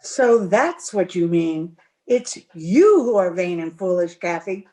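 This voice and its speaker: An actress playing a proud, lovestruck young gentlewoman in an old-time radio drama, with an emphatic theatrical delivery. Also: fairly low pitch, expressive, slow pace. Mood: defiant, accusing. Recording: remote video-call recording.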